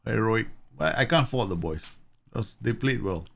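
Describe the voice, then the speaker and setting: Male streamer from Singapore, slightly low-pitched, relaxed running commentary. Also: moderate pace, creaky. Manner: chatty, laughs often, reads game messages aloud. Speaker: gaming streamer. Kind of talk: casual live game commentary.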